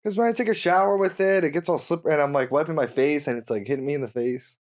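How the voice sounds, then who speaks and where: A man's voice: a little high, fast talker. A man chatting casually as a participant on a podcast. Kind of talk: casual chat.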